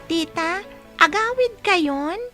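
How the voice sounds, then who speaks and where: Very high, respectful child's voice. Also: female voice, expressive, slow, over music, heavily acted. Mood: respectful, cheerful. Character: respectful young child, eager student greeting relatives. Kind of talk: radio drama acting.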